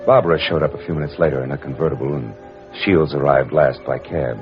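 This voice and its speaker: A very low-voiced, hard-boiled American private eye, clipped, wry and in command. He narrates like a 1940s radio drama, on a dull old recording with music. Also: fast talker. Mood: wry, brooding. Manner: wry, clipped, world-weary. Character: tough, wry male private detective, dry and in command.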